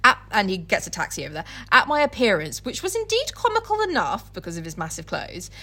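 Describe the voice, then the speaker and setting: A woman co-hosting a podcast speaks at a middling pitch. She moves between casual, giggly chat and dramatic reading from a book. Her manner is irreverent and sweary. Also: wildly swooping pitch, fast, bright, forceful tone. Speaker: podcast co-host.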